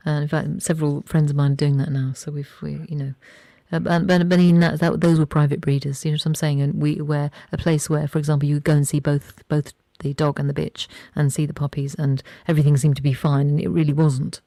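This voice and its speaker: Conversational radio interviewer, soft-edged tone with noticeable fry, close dry sound, loose rambling phrasing. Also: mid-range voice, flat delivery, a little fast, few pauses, dry recording. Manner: informal, rambling questions with many restarts. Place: radio studio.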